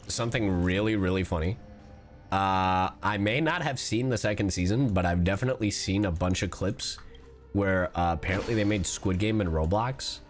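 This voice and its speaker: A man livestreaming a game with casual, excitable, playful and foul-mouthed commentary. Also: fairly low pitch. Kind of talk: casual gaming stream commentary. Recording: game music behind the voice. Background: game music.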